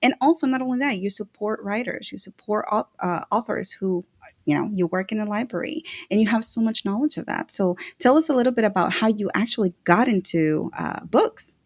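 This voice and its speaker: A woman hosting an interview, warmly effusive and full of praise for her guest. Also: mid-range voice, fairly quick. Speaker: host.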